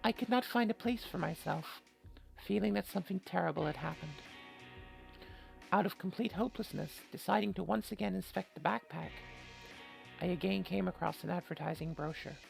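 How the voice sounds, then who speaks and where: A very creaky, gravelly voice reads game text aloud and cuts in with mocking, sweary asides. The delivery is that of a joking player, with faint music behind it. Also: medium pitch, normal speed, low-quality recording. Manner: sarcastic, sweary, mocking interjections. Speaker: video game player reading a visual novel aloud.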